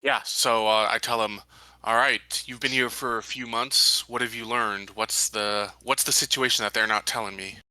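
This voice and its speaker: A man's voice: on the low side, fairly even intonation, moderate pace, pushed and bright, no room sound, rough recording. A man playing a tabletop roleplaying game, casually talking through what his character says and asks.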